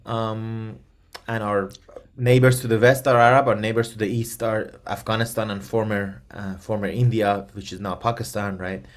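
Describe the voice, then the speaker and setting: A man from Iran with a fairly low voice, speaking as a guest expert. His first language is Persian, and he hesitates often, with many ums and restarted phrases. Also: little pitch movement, moderate pace. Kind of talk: explanatory interview answers.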